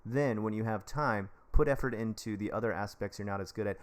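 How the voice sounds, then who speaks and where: A man's voice: lowish voice, a little fast, clear, resonant tone. An American man, an English teacher, giving casual advice on a live stream in a rambling, jokey, chummy way, talking straight to his viewers. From United States. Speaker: livestream advice host.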